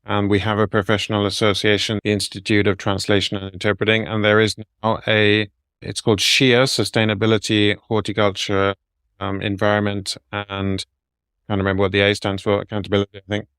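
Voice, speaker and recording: A middle-aged man answering interview questions in a measured, explanatory way, laying out his advice point by point. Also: on the low side, monotone, a bit bright, smooth, no room sound, clean studio sound. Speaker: guest, medical translator.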